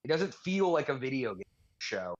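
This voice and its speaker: A man's voice: medium pitch, very animated, normal speed. A man co-hosting a podcast in casual chat, informally qualifying his opinions as he goes. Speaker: podcast co-host. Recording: slightly dull, lo-fi audio.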